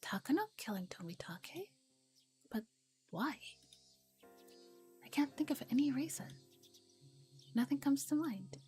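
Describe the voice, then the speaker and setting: A woman with a soft, breathy, often whispery voice reads a visual novel ASMR-style on stream with relaxed, playful asides, close to the mic. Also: on the high side. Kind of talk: ASMR reading with casual commentary. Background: music.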